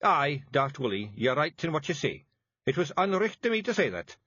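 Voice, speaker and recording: A man doing a gruff Scottish fairy-chief. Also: a little high, sing-song, moderate pace, sharp tone, muffled recording, voice pushed up, exaggerated voice. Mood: commanding. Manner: blustering, commanding, broad dialect. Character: gruff little fairy-man clan leader, bossy. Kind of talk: audiobook character voice.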